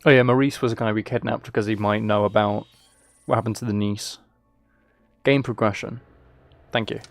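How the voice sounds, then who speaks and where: A man's voice: fairly low pitch, steady tone, moderate pace, gravelly. A man streaming a video game, giving casual commentary with dry, flippant, rambling asides.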